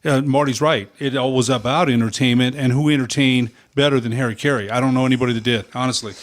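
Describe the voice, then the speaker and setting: Male sportscaster co-host, mid-range voice, agreeable and exclamatory, relaxed chatty phrasing. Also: mid-range voice, fast talker. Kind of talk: casual chat.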